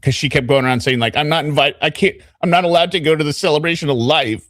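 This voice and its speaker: A man's voice: fairly high pitch, fast, a bit bright, no echo, studio quality. A man hosting a recap show, commenting casually and animatedly and sometimes mimicking the people he quotes. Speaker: host of a trial recap show.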